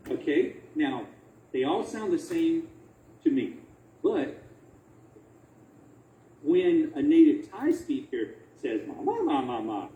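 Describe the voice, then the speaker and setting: A male researcher presents in a conversational but emphatic way, with very lively swings of pitch and an unhurried pace. He repeats phrases for effect and poses rhetorical questions. His voice is soft-edged and mellow. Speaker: academic researcher presenting a talk. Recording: roomy echo, faint background noise.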